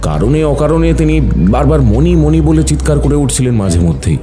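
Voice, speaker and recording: A man's voice: a little high, sing-song, normal speed, mellow, soft background music. A man acting a shrewd, observant doctor who helps a detective friend in an audio mystery drama. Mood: reflective, measured. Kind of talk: Bengali audio story acting.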